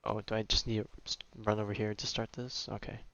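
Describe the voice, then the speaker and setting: A man's voice: on the low side, flat delivery, fast, fairly bright tone, muffled recording. A young man streaming a game and chatting casually, slangy and muttering as he thinks aloud. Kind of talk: casual live gameplay chat.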